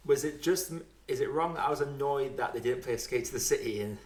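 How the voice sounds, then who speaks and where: Casting: male podcast host, medium pitch, casual and tangential, a bit self-deprecating, recorded in a roomy, echoing space. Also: quick pace.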